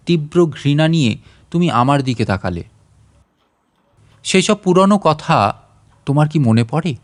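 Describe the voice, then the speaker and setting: Guy reading a literary story like an audiobook, medium pitch, reflective and measured, kinda dramatic.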